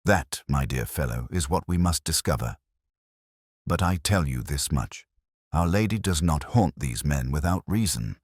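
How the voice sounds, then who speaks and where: Male narrator as Victorian gentleman detective, storybook, very deep voice, formal, quietly authoritative, dry sound. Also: expressive, unhurried, breathy edge, no room sound, full character voice. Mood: grave, purposeful. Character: Victorian gentleman detective, keen, calm, commanding.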